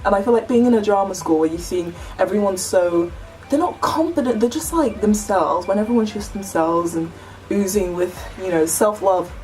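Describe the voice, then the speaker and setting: Young woman vlogger, deep low voice, chatty and earnest, slightly off-mic with faint hiss. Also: darkish tone, distant mic, low-quality recording. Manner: chatty, earnest, many "like" and "you know" fillers. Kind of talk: casual chat to camera.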